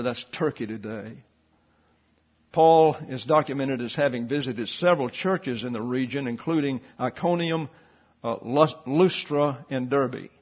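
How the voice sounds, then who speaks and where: A man teaching a Bible study lecture, reading passages and footnotes aloud with asides and slowly spelling out Greek words. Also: medium pitch, slightly rough, highs cut off.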